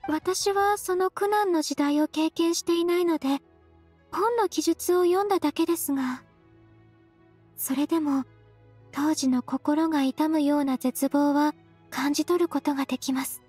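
Game role: gentle, dutiful young female secretary, actress, very high voice, dry recording, courteous formal delivery. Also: normal speed, no room sound, natural voice. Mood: earnest, solemn. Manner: soft-spoken, formal, courteous. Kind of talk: Japanese game voice acting. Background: music.

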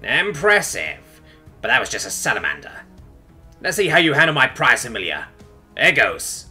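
A man voicing a haughty, boastful old noble magistrate with a gruff delivery, in an audiobook. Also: medium pitch, huge pitch swings, normal speed, bright, forceful tone, soft background music, exaggerated voice. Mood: smug. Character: pompous, smug old male noble magistrate, villain. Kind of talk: audiobook character voice.

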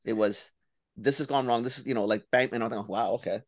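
A man's voice: mid-range voice, fast talker. A middle-aged man co-hosting a podcast, blunt and emphatic, swearing freely, talking over a video call on a headset. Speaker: podcast co-host. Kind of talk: casual chat on a video call.

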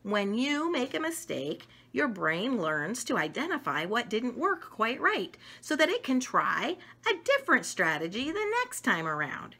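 Animated, bright-voiced woman reading aloud. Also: fairly high pitch, measured pace, few pauses, husky. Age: middle-aged. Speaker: storyteller reading picture books. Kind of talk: read-aloud of a children's educational book. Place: home dining room, indoors.

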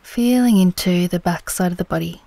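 A woman leading a guided meditation in a gentle, soothing, unhurried manner, her soft voice turning breathy at the ends of phrases. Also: fairly low pitch, dry recording.

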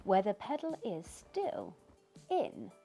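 Slow, lively British woman reading aloud. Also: mid-range voice, vocal fry, faint music behind. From United Kingdom. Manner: slow, sing-song storytelling with questions to young listeners. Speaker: children's book reader. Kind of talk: reading a picture book aloud to children. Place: living room by a fireplace.

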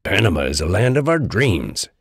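A man voicing a calm, kindly little bear who speaks gently and with steady confidence. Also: fairly low pitch, normal speed, breathy edge, no room sound, clean studio sound, exaggerated voice. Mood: dreamy.

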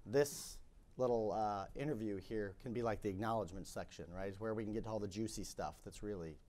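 A man in his thirties with a slightly scratchy, fairly low voice, leading an interview on a conference stage. He talks in a chatty, halting way with plenty of ums. He is picked up by a lapel mic. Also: dark tone. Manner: chatty, hesitant, many ums. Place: conference stage with curtain backdrop.

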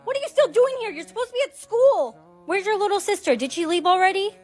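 A woman's voice: very high voice, fast talker, soft background music. A woman acting dramatic characters such as a mother in a skit. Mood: startled.

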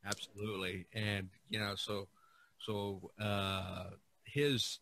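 Low-voiced man, bright tone, halting delivery. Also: level tone, dry recording. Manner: halting, searching for words. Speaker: podcast co-host. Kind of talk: casual discussion of art history.

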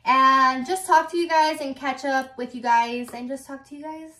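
Chatty young woman, high voice. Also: fairly even intonation, moderate pace, bright, forceful tone. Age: 20s. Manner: chatty, lots of "like" fillers. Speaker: YouTuber. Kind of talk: casual chat. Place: bedroom. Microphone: phone camera. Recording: echoey room.

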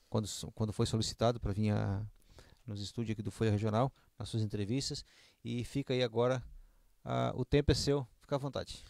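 A middle-aged man, hosting an interview in a halting way on a handheld studio mic. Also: mid-range voice, creaky, darkish tone, dry recording. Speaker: interviewer, host. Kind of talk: local political interview. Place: small indoor studio. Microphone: handheld microphone.

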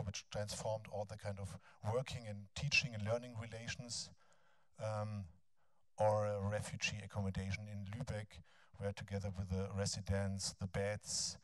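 A man in his fifties with a German accent gives a lecture in a large bright hall on a handheld mic, hesitating often with ums and restarts. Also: on the low side. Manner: halting, frequent ums and restarts. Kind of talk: academic lecture, then reading a text aloud.

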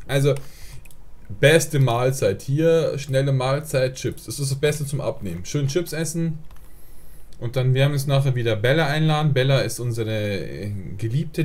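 A man talks his way through a gaming livestream, chatty and rambling, with plenty of "äh" between thoughts. His voice is mellow and warm, at an ordinary pitch for a man. He sounds close to a desk microphone at home. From Germany. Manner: chatty, rambling, answers chat, frequent fillers. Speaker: live streamer. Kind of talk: casual gaming livestream chat.

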